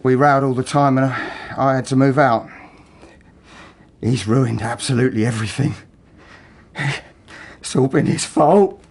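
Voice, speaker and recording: An actor playing an embittered, broken working man making a halting, emotionally raw confession in a radio drama. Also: mid-range voice, steady tone. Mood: bitter. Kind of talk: naturalistic radio drama acting.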